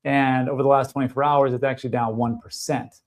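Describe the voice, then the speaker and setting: A man streams live from his desk, walking viewers through price charts in a loose, conversational way. He thinks aloud, hedges, and pads his sentences with fillers. His voice sits in an ordinary middle pitch. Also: little pitch movement, brisk. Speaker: crypto market commentator.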